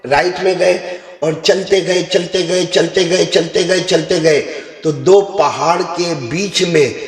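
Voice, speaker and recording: A male Islamic preacher tells a story as a sermon, animated and given to sudden high rises. His voice sits fairly high. It rings through a large, roomy hall with a long reverb. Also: takes it slowly.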